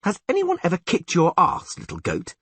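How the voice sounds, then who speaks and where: Man voicing a flippant, whining poet, higher-pitched. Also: huge pitch swings, moderate pace, fairly bright tone, breathy edge, dry recording, heavily acted. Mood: mocking. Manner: mocking, theatrical, aggrieved. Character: flippant, whining male poet, vain and complaining. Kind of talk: audiobook character acting.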